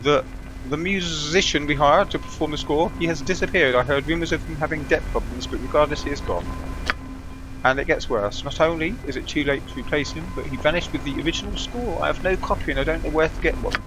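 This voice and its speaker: A man's voice: on the high side, fast, music in the background, natural voice, rough recording. A man voicing a flustered theatre manager from a game, dramatic and worried, repeating himself. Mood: worried, lamenting. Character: flustered theatre manager lamenting a ruined play.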